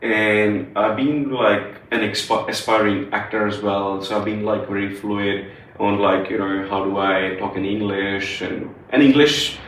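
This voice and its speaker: A man's voice: lowish voice, fairly even intonation, a little slow, fairly bright tone, recorded from a distance, a little hiss or hum. A young man from India, with Punjabi as his first language, co-hosting a podcast in rambling casual chat full of fillers and restarts. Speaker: podcast co-host. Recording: roomy, from off the mic.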